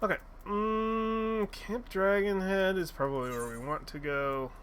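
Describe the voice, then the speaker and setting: Guy streaming a game, rambly and jokey, bright tense voice, lots of uhs. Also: sits high, fairly even intonation, measured pace, a little hiss or hum. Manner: rambling, filler-heavy, joking, swears casually. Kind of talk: casual let's-play commentary.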